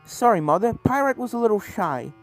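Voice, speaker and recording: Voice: medium pitch, very animated, brisk, low-quality recording. A reader voicing a cheerful, chatty younger-brother unicorn stallion who teases, in a fantasy story over game music. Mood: friendly, teasing. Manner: chatty, teasing.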